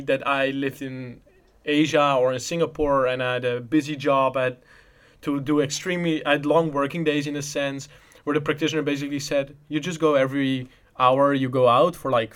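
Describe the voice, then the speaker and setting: A man from the Netherlands talks in English, his second language, at an ordinary pitch. He rambles through his points in loose, casual conversation, often restarting his sentences. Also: fairly bright tone, smooth. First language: Dutch. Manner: rambling, many restarts and "in a sense".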